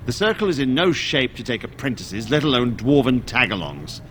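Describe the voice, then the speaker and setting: Solemn, formal senior mage. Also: male voice, medium pitch, very animated, a bit bright, slight background noise. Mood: dismissive. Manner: formal, measured, stern. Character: solemn, dismissive senior male mage of a circle.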